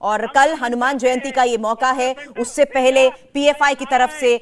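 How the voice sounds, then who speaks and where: A woman news anchor with an Indian accent speaks in a fairly high voice. She presents urgently, running clauses together into long, emphatic sentences. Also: steady tone, normal speed.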